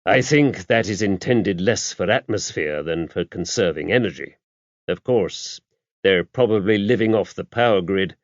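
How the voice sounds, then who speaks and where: Man reading as mild, low-voiced, patient clergyman. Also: lively intonation, normal speed, no room sound. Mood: measured. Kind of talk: audiobook character dialogue. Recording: dry, dull lo-fi audio.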